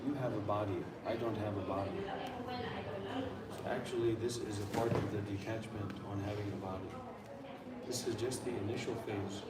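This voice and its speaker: A man's voice: lowish voice, steady tone, moderate pace, a loud crowd. An American man chatting casually and earnestly on a live stream, rambling from thought to thought. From Maryland, USA. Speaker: live-streamer at a meditation center. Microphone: phone. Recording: clearly noisy live phone stream.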